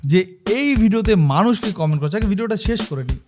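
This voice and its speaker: A man's voice: high voice, animated, brisk. A man giving casual, chatty reaction commentary as a host, addressing his viewers like friends. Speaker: host of a political meme review channel. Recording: music bed behind the voice.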